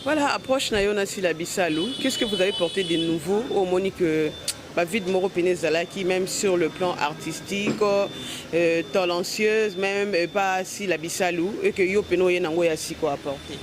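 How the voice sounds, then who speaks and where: A man's voice: sits high, normal speed, noisy background. A man, asking interview questions and slipping into Lingala. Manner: short questions mixing French and Lingala.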